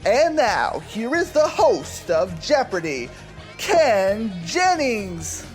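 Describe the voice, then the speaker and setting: A woman playing a game-show announcer who introduces contestants with drawn-out, showy flair for a radio parody. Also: medium pitch, big pitch swings, soft background music.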